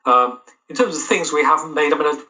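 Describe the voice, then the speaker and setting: A man's voice: a little high, fast talker, bright, forceful tone. A middle-aged man giving thoughtful, tentative answers as a guest in a casual interview. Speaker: audio drama producer, interview guest. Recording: dull, lo-fi call-like sound.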